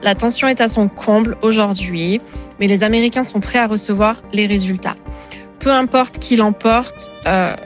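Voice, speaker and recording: A woman in her thirties from France, giving a clear, steady testimony as an interviewee. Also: medium pitch, normal speed, faint music behind. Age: 30s.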